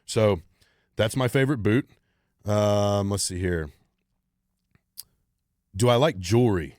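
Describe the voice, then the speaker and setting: Deep-voiced blunt man in his thirties. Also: takes it slowly, darkish tone, dry recording, clean studio sound. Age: 30s. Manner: blunt, driven, swears freely. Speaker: podcast host. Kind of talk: solo Q&A podcast.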